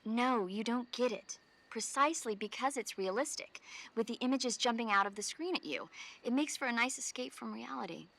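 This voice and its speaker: A woman's voice: on the high side, lively intonation, moderate pace, creaky, fairly bright tone, rough recording. An actress playing a chatty, earnest female support officer and film buff in a video game, explaining things conversationally. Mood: enthusiastic.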